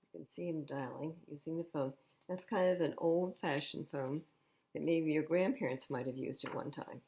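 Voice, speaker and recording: Woman, slightly low pitch, children's storytime reader, expressive storytelling, simple explanatory asides. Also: moderate pace. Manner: expressive, explains pictures simply to young listeners. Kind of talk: reading a picture book aloud to children, with asides.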